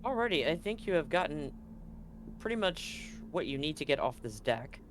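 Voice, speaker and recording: A man's voice: on the high side, sing-song, normal speed. Man game mastering, noisy background. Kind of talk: tabletop role-play game narration and chat.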